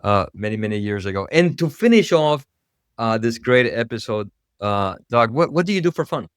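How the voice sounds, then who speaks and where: A man's voice: mid-range voice, expressive, moderate pace, smooth, dry recording, clean studio sound. A man from Ecuador hosting a casual interview podcast, restarting phrases as he talks. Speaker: podcast host.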